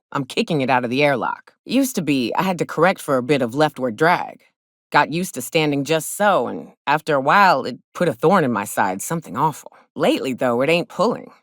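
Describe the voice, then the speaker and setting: Low-voiced, blunt frontier huntress. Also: female voice, no echo, studio quality. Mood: suspicious, irritated, then wry, easygoing. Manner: blunt, wry, folksy frontier speech with ain't and mite. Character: gruff, hard-drinking frontier woman, hunter and guide for hire. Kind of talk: video game companion dialogue acting.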